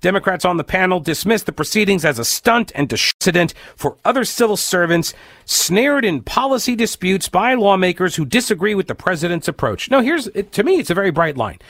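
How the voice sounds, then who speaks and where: Male talk radio host, raspy and congested, sarcastic and opinionated, slightly high voice, dry studio sound. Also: big pitch swings, fairly quick, fairly bright tone, no echo. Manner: sarcastic, opinionated, reads news aloud with asides. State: raspy, stuffed-up voice from a cold. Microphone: studio microphone, dry.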